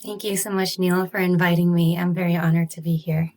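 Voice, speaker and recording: A woman speaks in a low, even tone with little rise and fall. She explains her research in a measured, earnest way, the pace careful and steady. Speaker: ophthalmologist, study author. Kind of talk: podcast interview answers.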